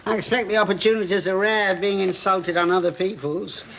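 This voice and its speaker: An actor playing a long-suffering middle-aged husband with a dry, deadpan manner. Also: male voice, high voice, a little fast, creaky, heavy background noise. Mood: weary.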